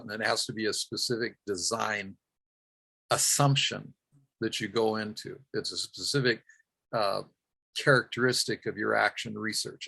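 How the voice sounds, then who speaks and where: Man, workshop presenter, gravelly voice, halting with lots of "uh"s. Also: fairly low pitch, bright, forceful tone. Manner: frequent "uh" fillers and self-corrections. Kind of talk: recounting a field project and answering questions.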